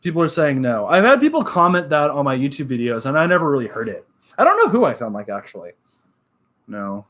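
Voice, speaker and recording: A young man with a nasal voice, chatting casually on a live stream, upbeat and relaxed, full of fillers. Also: medium pitch, brisk. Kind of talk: casual live-stream chat reading comments.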